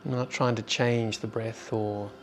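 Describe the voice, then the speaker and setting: A man leading a guided meditation in an informal, unhurried way with long pauses. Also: medium pitch, steady tone, soft background music.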